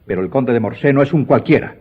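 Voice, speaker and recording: A proud count in a radio play, husky and pompous, pressing his point with stiff military formality. The audio is narrow and phone-like. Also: mid-range voice, animated, fast talker. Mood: indignant. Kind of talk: Spanish radio drama acting.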